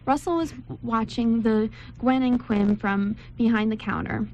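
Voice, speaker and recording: A woman's voice: on the high side, measured pace, darkish tone, lo-fi sound. A woman reading scripted story narration for an amateur radio drama. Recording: background noise, amateur room recording.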